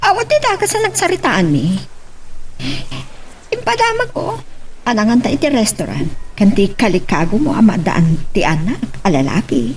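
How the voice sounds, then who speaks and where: An emotional wife in an Ilocano radio love story, played by a woman. Her voice sits fairly high and swings widely in pitch. She delivers everything with expressive melodrama. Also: moderate pace, a little hiss or hum, low-quality recording. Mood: earnest, pleading. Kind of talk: Ilocano radio drama acting.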